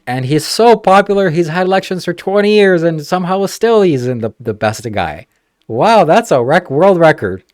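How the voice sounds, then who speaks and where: A man's voice: on the high side, animated, moderate pace, no echo. Young man, from Ukraine, casual podcast chat, sarcastic, dry close sound. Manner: joking, sarcastic asides. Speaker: podcast host.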